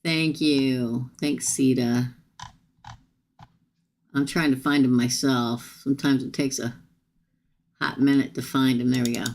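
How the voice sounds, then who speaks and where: Tarot reader, woman, low gravelly voice, chatty with viewers, tired and getting hoarse. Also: steady tone, unhurried, pauses often, a little mellow. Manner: chatty, addresses viewers directly. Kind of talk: live-stream tarot reading.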